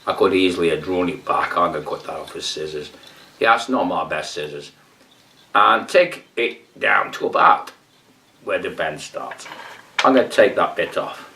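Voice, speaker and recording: A man's voice: deep voice, lively intonation, moderate pace, vocal fry, pushed and bright, distant mic, heavily acted. A man playing a rambling Brazilian amateur fly tier in a comic tutorial, with a put-on Brazilian accent, broken English and muttered whispered asides. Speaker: fly tying demonstrator.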